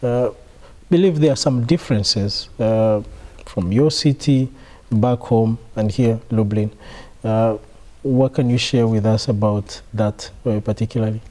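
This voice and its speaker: A man's voice: lowish voice, a little slow, a little mellow. A man hosting a casual interview for university staff, asking rambling questions and murmuring agreement constantly.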